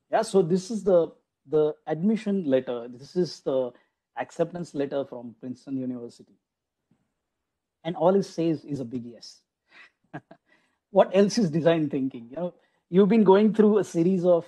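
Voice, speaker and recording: A man giving an online lecture to a room of teachers, speaking through a computer microphone on a video call. His voice sits a little high but sounds very soft and mellow. He talks in a loose, conversational way, restarting phrases and leaning on "you know" as he goes. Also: poor audio. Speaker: guest lecturer at a faculty development program.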